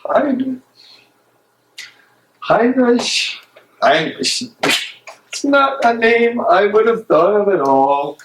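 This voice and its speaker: A man plays an imprisoned theologian in a stage drama, earnest and protective. His delivery moves freely in pitch. The sound is roomy with slight background noise. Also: expressive, slow pace, long pauses, fairly bright tone, heavily acted. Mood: playful. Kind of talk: stage play dramatic reading. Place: library meeting room, live reading. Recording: echoey room, noisy with clicks.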